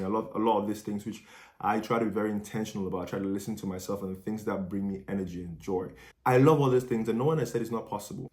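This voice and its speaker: A young man, a medical student whose first language is not Dutch, talking earnestly and encouragingly to his viewers in a casual vlog. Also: on the low side.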